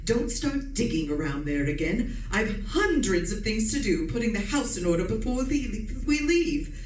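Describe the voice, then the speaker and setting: An actress playing a bossy, belittling wife, imperious and scolding, in old-time radio suspense style. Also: female voice, medium pitch, big pitch swings, moderate pace, a little mellow, natural voice. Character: bossy, nagging, belittling wife who manages everything. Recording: roomy, distant pickup with faint noise.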